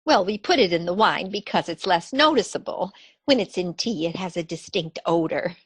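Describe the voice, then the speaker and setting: Sweet dotty elderly aunt, female actor, radio stage comedy; husky, animated, genteel, close dry sound. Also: fairly low pitch, normal speed, no echo. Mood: matter-of-fact. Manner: genteel, chirpy, soothing. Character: sweet, dotty elderly aunt, cheerfully innocent about murder.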